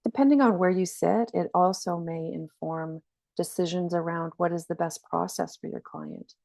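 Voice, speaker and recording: A woman's voice: fairly low pitch, moderate pace, creaky, warm tone, no room sound, studio quality. A woman with a slight cold, giving a webinar training presentation in an earnest, explanatory way. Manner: earnest, explanatory, frequent restarts. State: has a cold, slightly scratchy.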